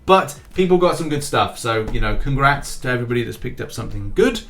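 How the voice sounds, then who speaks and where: A man of middle pitch hosts a gaming news roundup in a relaxed, chatty way. He often restarts phrases and runs through lists of scores and rankings one after another. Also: fast, vocal fry.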